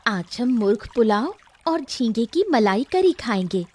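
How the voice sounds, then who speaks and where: A woman's voice: a little high, very animated, unhurried, a little mellow. A woman voicing a happy, romantic young royal in a cartoon story, affectionate and eager. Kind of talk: animated moral story dramatization.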